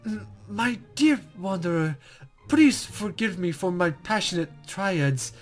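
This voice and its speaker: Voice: mid-range voice, animated, takes it slowly, faint music behind. A performer voicing a playful, flustered young woman guide from a fantasy visual novel, with stammering, formal, ornate speech. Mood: apologetic. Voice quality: put-on, wavering accent.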